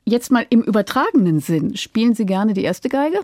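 A woman's voice: a little high, very animated, normal speed, darkish tone, no echo. A woman with pronunciation, hosting a studio radio interview and putting prepared questions to a guest.

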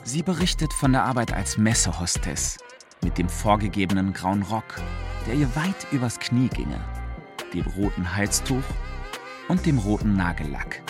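A man's voice: fairly low pitch, very animated, moderate pace, music in the background. An actor playing a curious, easygoing young man who chats and narrates about his friend in a radio play. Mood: calm narrating.

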